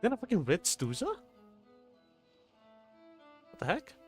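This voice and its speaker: A man's voice: high voice, huge pitch swings, unhurried, slightly rough. Guy streaming a game, chatting casually n swearing, music faint in back. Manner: bantering, swears freely. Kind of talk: casual live-stream commentary.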